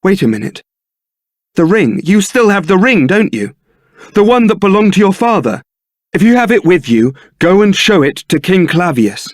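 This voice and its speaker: Suave, higher-voiced young templar knight. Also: male voice, sing-song, normal speed, no room sound. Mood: urgent, earnest. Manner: theatrical, dry, wry delivery. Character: suave, sardonic young templar knight, roguish ladies' man. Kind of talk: fantasy video game voice acting.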